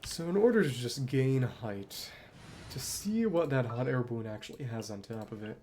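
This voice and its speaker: A man giving casual solo commentary as a game streamer, musing aloud in short, halting remarks. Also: mid-range voice, animated, darkish tone, slight background noise.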